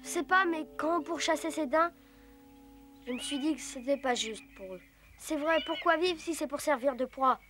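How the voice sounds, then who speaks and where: A woman's voice: high-pitched, moderate pace, a bit bright, faint music behind. A woman voicing an earnest, youthful jungle boy raised by wolves, in a French cartoon dub.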